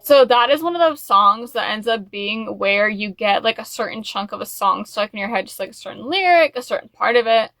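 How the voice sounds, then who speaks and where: A woman talks casually to her viewers as the host of a video. Her voice is bright and forward, recorded dry with little room sound. She rambles in a gushing fan manner, peppering her talk with "like" and "you know.". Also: fairly high pitch, lively intonation, brisk, few pauses, no echo. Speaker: YouTube host. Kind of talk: casual chat to camera, music album review.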